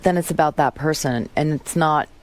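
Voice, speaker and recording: A woman's voice: sits low, moderate pace. A middle-aged woman talking frankly as a songwriter guest on a radio show. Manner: frank, animated storytelling. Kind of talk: radio interview.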